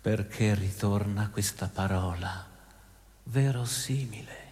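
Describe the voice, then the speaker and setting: A man narrating a scripted historical account in a measured theatrical style, explaining it as an actor. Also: on the low side, darkish tone, in a large hall, slight background noise. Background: guitar music.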